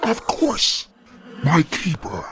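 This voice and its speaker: High, fawning shadow-creature henchman. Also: huge pitch swings, a bit bright, a little hiss or hum, exaggerated voice. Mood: sly, eager. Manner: obsequious, slow, maniacal. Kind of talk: audio fiction character acting.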